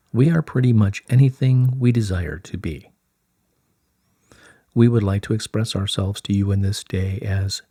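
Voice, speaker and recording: Serene beings of light speak through a man reader in a low, slightly rough-grained voice. The phrasing is stately and formal, delivered as a solemn channelled message. The sound is close and dry. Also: mellow, no room sound.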